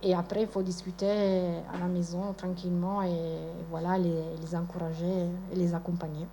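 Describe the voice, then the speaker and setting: A woman with an Italian accent whose first language is Italian, speaking calmly as a parent at a school event. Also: lowish voice, normal speed, slight background noise.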